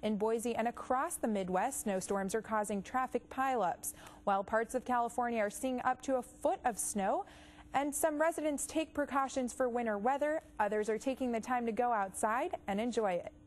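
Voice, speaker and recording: A young adult woman reporter and anchor reading scripted news in a crisp, measured way. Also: a little high, a little hiss or hum. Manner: crisp, measured newsreader delivery.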